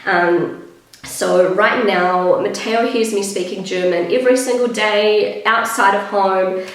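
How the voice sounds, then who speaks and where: A woman in her thirties with a New Zealand accent, chatting casually in a vlog, her voice croaky with vocal fry and a little out of breath. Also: medium pitch, slow pace. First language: English. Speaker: vlogger. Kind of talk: casual vlog talk to camera. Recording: very echoey room.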